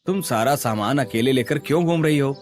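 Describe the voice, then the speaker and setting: A man's voice: medium pitch, fairly quick, natural voice. A man voicing a protective young husband in a cartoon, helpful and reassuring. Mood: caring, reassuring. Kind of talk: Hindi cartoon dialogue.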